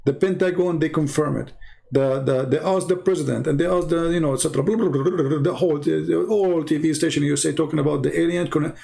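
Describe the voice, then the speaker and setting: Gravelly man, rambling and scornful. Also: on the high side, steady tone, quick pace, mellow. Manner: rambling, scornful, repeats himself. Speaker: online commentator. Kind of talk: casual monologue.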